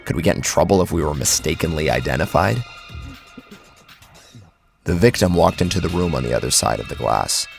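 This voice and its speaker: Deep, gravelly male horror narrator. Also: expressive, brisk, long pauses. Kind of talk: dramatic scripted first-person narration. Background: background music.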